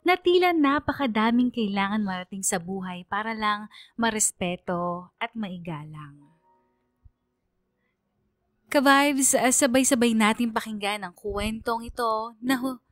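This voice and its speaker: A Filipino woman working as a radio DJ, narrating a listener's letter as a scripted dramatic story in an earnest, measured way. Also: a little high, animated, dry recording, clean studio sound, soft background music. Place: dry studio, music behind.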